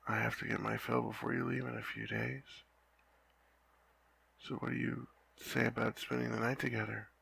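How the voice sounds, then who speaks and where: A young man playing a lovesick, flustered knight in an ASMR roleplay, talking softly and haltingly with frequent stammers. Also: medium pitch, level tone, creaky, breathy edge, heavily acted. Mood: tender, teasing. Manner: halting, stammering, soft-spoken.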